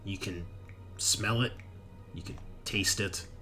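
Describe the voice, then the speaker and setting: Man, game master, low bright forceful voice, dramatic tabletop horror narration, clear music behind. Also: fairly even intonation, slow. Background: background music.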